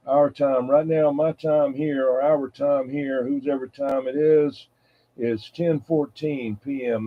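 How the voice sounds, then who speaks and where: Folksy man, muffled phone-like sound. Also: medium pitch. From United States East Coast. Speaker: CB radio show host. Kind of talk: casual rambling hobby chat.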